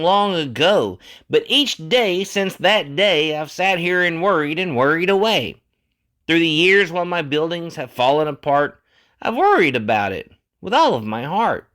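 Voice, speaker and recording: Animated man reading a rhyming storybook. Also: on the high side. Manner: theatrical, dramatic. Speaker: storybook reader. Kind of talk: expressive rhymed storybook reading.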